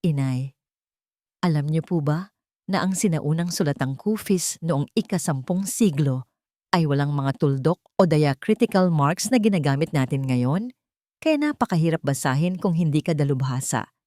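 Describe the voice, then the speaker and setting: A woman's voice: sits low, expressive, moderate pace, mellow, no echo, studio quality. A woman voicing an earnest, polite, bookish ten-year-old girl in a story.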